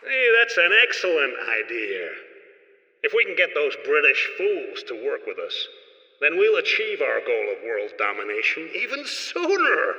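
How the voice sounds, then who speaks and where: A man's voice: fairly high pitch, huge pitch swings, moderate pace, sharp tone, muffled recording, full character voice. A man playing a megalomaniac corporate villain in an audio play, with a pompous, theatrical delivery. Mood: scheming, pleased. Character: megalomaniac villain boss of a world-domination corporation. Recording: echoey hall reverb.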